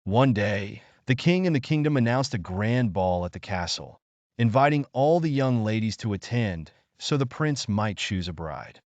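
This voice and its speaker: Deep, expressive male storyteller. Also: normal speed, dry recording, muffled recording. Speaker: storyteller, narrator. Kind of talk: scripted bedtime fairy-tale narration for children.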